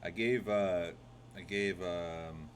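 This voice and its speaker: A man live-streaming crude, loud, mocking reaction commentary from a home desk mic. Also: deep voice, slow, a crowd in the background.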